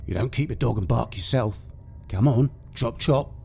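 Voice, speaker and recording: A man's voice: mid-range voice, moderate pace, vocal fry, dark tone, soft background music, voice pushed up, natural voice. Man playing a sneering British grave-robbing bully. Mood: bossy, gleeful. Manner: sneering, commanding, slangy British speech. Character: nasty menacing male grave robber, bullying and sneering.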